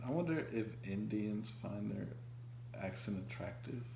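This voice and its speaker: Give me a very low-voiced woman making dry joking asides in a roomy room. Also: expressive, measured pace, creaky, noisy background. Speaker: co-host. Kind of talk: casual chat.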